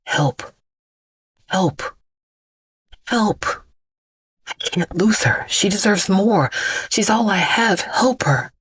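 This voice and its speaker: A woman's voice: medium pitch, animated, slow pace, long pauses, fairly bright tone, breathy edge, voice pushed up, heavily acted. A woman voicing an exhausted, grieving father who begs desperately and breathily in an audio drama. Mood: desperate pleading.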